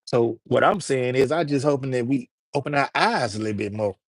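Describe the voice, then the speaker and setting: Man, livestream host, casual and slangy, mid pitch, dry closed-in sound. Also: fairly quick, no room sound. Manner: loose, slangy, talks straight to chat. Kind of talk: casual livestream chat with viewers.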